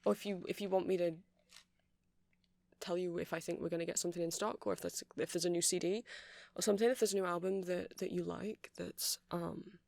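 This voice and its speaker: Nerdy vampire shop clerk ASMR performer, gravelly fry, dry intimate sound, eager but unsure, rambles and apologises. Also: medium pitch, steady tone, a little fast, darkish tone, dry recording, close to an everyday voice. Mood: helpful, rambling. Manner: hesitant, rambling, self-deprecating, nervous little laughs. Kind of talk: ASMR roleplay.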